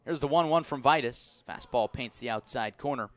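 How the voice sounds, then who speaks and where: A man calling live baseball play-by-play for the radio in a measured, descriptive way. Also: medium pitch, fairly bright tone, dry recording. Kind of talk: live radio sports commentary.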